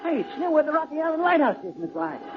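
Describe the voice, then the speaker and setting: An eager, excitable henchman in an old radio crime play. He is very high-pitched and talks fast. The sound is dull and old. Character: eager young male gangster's stooge. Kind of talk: 1940s radio acting. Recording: muffled, noisy old radio recording.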